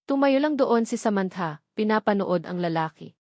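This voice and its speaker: A woman reading a scripted dramatic story in measured, deliberate short phrases. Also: medium pitch, animated, a little mellow, no echo, clean studio sound. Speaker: audiobook narrator.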